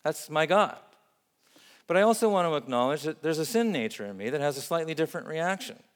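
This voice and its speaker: A man who grew up in Vietnam, preaching a sermon in an earnest, measured way and building up emphatic lists. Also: on the high side, very animated, long reverb tail. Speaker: pastor.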